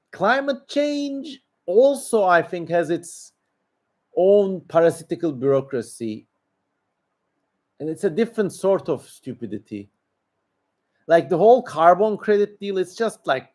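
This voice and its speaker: A man with a Turkish accent speaks in a somewhat high voice at a very slow pace. He hosts a casual livestream, replying to viewers. His delivery is opinionated and rambling, with emphatic points. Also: darkish tone. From Turkey. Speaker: livestream host. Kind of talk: casual livestream commentary answering viewer questions.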